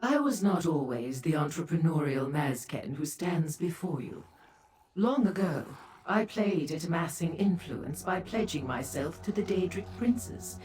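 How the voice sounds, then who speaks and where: A woman's voice: low voice, very animated, a little slow, a little mellow, soft background music. A woman voicing a sly, seductive and haughty female demon dealer in a fantasy video game, with a teasing manner. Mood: haughty, wry.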